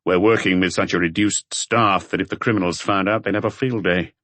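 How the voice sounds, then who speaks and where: A man voicing a calm, authoritative police chief inspector in an audiobook, terse and matter-of-fact. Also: low-pitched, brisk, dry recording.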